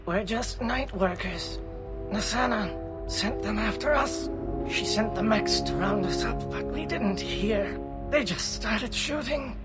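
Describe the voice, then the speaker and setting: An actress playing a badly wounded, frightened night-shift worker in a video game, strained and halting with pain. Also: female voice, medium pitch, animated. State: in pain, gasping, coughing. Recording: loud game music behind.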